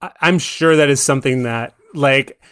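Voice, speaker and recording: A man's voice: mid-range voice, normal speed, a bit bright, clear, resonant tone, dry recording, studio quality. A man chatting casually as he gives film commentary on a podcast.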